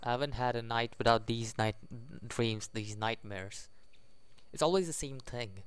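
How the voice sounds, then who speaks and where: A man's voice: medium pitch, unhurried, clear, resonant tone, no room sound. A man giving casual playthrough commentary, reading game text aloud and tossing in jokey side remarks. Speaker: gaming video host. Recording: faint music under the voice. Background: video game music.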